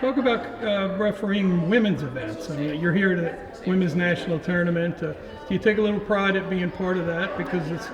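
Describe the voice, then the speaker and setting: A man's voice: high-pitched, a little fast, highs cut off. Chatty man interviewing in an echoey arena. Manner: relaxed, chatty, with fillers. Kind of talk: casual on-site interview. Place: wrestling tournament arena. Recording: echoey arena with crowd noise.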